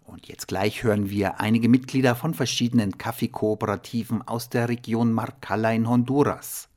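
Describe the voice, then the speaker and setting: A male radio host reads his scripted introduction in a calm, measured way. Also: medium pitch.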